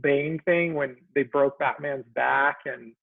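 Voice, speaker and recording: A man of about forty from Israel chats as a guest, halting and soft, leaning on fillers as his thoughts trail off. His voice is deeply gravelly and fried. It comes through a thin, phone-like video call with no room sound at all. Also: steady tone, no echo. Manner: halting and soft-spoken, full of ums and trailing phrases. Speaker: guest cartoonist. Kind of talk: casual chat. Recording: tinny dry call audio.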